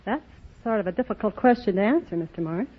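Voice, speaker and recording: A woman's voice: medium pitch, animated, fast talker, narrow, tinny audio. Woman contestant on very noisy vintage radio. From Texas, United States. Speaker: quiz contestant. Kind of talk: answering a host's questions. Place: radio studio before an audience. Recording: thin, very noisy old radio recording.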